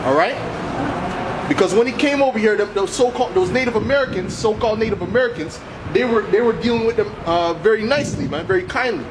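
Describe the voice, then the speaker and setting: A man's voice: high-pitched, fast talker. A man preaching on the street in a confrontational, insistent, accusatory way, punctuating his points with repeated tags like "man" and "all right". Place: outdoors on an urban street. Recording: very loud outdoor city traffic noise.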